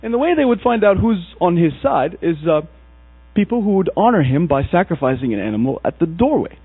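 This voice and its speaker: A man's voice: high-pitched, brisk, dry recording. A man from Egypt preaching a sermon in a storytelling way, acting out the people in his stories. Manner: storytelling, animated, acts out quoted characters. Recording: husky voice over a tinny, dry, phone-like recording with mains hum.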